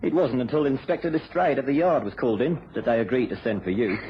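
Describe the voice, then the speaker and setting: An actor playing a deferential, world-weary English police sergeant in a vintage radio drama, respectful, matter-of-fact and resigned. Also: male voice, medium pitch, fast, lightly acted. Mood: apologetic. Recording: muffled, noisy old radio recording.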